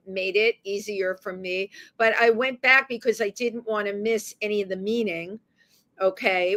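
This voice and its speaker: Bright-voiced New woman hosting. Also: medium pitch. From the Bronx, New York. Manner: chatty, rambling, with restarts. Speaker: radio talk show host. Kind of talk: radio interview.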